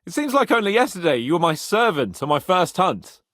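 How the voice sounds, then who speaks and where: A man's voice: sits high, big pitch swings, fairly quick, a bit bright, no room sound, studio quality. A man playing a cocky, hot-tempered young medieval nobleman in a video game, loyal but boastful, with a swaggering, teasing manner. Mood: cocky, friendly. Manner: swaggering, teasing, colourful swearing. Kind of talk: video game character acting.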